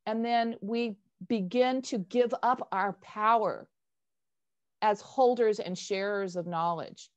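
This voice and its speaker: An older woman facilitating an informal online workshop, speaking in an animated, emphatic, self-deprecating way on a video call headset. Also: medium pitch, slow, muffled recording. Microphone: wired earphone headset.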